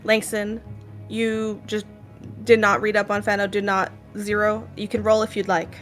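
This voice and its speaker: A woman's voice: mid-range voice, monotone, normal speed, a bit bright, faint music behind. A woman with an Israeli accent, narrating a tabletop game as game master in a casual, laughing way. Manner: laughs often, casual with fillers.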